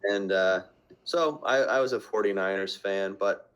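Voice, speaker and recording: A man's voice: fairly low pitch, a little slow, a bit bright, clean tone. A man from central Vermont, a podcast guest, chatting in an easygoing, rambling way. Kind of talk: casual chat.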